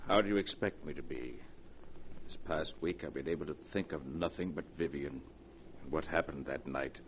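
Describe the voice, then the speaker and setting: A man's voice: extremely low pitch, normal speed. An actor playing an anguished, guilt-ridden man under blackmail in a 1940s radio horror drama, troubled and wavering. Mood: brooding. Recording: noisy vintage radio recording.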